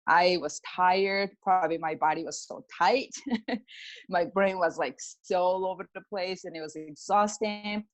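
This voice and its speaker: A middle-aged woman with a Japanese accent and a slightly low voice, speaking as a guest. She tells her story in an informal, conversational way, acting out little exchanges as she goes. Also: a little slow. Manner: informal, peppers talk with "like" and acts out quoted exchanges. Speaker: guest, pianist and energy healer. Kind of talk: casual personal storytelling in an interview.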